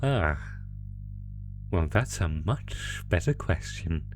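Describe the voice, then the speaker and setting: A man voicing a sadistic, theatrical monster who taunts a prisoner in a horror roleplay, talking with drawn-out pauses. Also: low-pitched, very animated, music in the background, exaggerated voice. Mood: smug, mock-sweet. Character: sadistic, gloating male monster stalking its prisoner through a maze. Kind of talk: ASMR horror roleplay audio drama.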